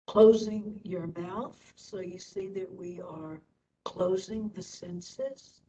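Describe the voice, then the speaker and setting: A woman instructor leading a guided meditation in short phrases with long pauses. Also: steady tone, dark tone. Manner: slow, pausing between short phrases. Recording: distant mic, dull, faint rumbling noise.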